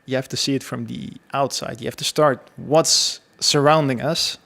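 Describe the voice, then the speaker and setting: A man, telling his story as a podcast guest in a casual, halting way. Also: medium pitch, fairly even intonation, creaky, fairly bright tone. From Belgium. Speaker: podcast guest, security professional.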